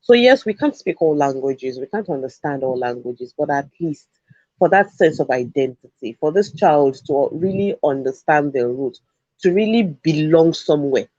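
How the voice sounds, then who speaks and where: A man's voice: fairly high pitch, expressive, normal speed, darkish tone, no echo, muffled recording. A man, a Yoruba speaker, making earnest, emphatic points as an analyst on an education show. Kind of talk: studio discussion commentary.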